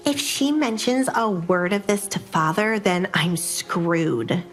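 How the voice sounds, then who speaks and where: A woman's voice: lowish voice, animated, slow pace. A woman voicing a guarded, resentful young noblewoman in an audio drama, with music behind her. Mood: worried.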